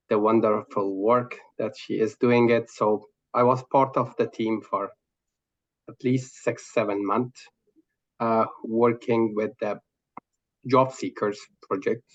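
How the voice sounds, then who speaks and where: Afghan guy on a muffled video call, slow and a bit low, lots of uhs. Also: steady tone. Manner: halting, with many fillers. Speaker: committee member.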